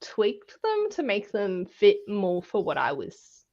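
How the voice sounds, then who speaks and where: A woman's voice: medium pitch, wildly swooping pitch, takes it slowly, a little mellow, lo-fi sound. A woman co-hosting a casual, conversational book discussion.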